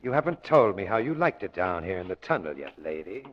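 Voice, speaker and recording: An actor playing a mocking, menacing gangster who taunts in slangy tough talk, in an old-time radio crime drama. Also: male voice, deep voice, big pitch swings, fairly quick, muffled recording, a little hiss or hum. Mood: gloating. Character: sneering gangster villain, menacing and mocking, gloating man. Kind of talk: 1940s radio drama acting.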